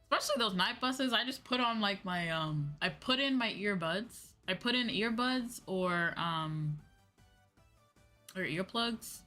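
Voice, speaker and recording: A woman's voice: medium pitch, expressive, a little slow, pushed and bright. An American woman chatting casually on a livestream in an informal, slangy, rambling way. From United States. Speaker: VTuber streamer, host. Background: background music.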